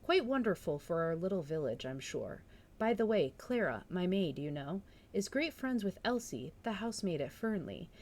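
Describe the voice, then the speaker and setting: A woman's voice: fairly low pitch, sing-song, normal speed, a little mellow. A woman voicing a gossipy village spinster in a storybook read-aloud, chatty and insinuating as she eagerly passes on tales.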